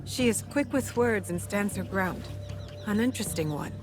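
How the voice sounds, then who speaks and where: A woman playing a composed, fair-minded samurai in a video game, calm, assured and direct. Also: mid-range voice, normal speed, lightly acted. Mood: firm, composed. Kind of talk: dramatic game voice acting. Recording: loud music behind.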